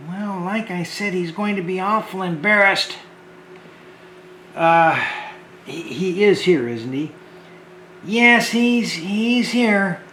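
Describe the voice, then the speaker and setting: A man hosting a homemade children's show, talking gently and hesitantly to the kids and often repeating words. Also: high-pitched, roomy sound, a little hiss or hum.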